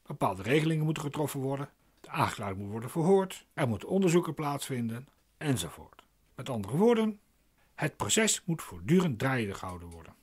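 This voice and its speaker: A man's voice: medium pitch, big pitch swings, brisk, a little mellow, breathy edge. An actor playing a glib, pedantic man explaining legal procedure in a radio play, insistent and didactic. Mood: persuasive, pedantic.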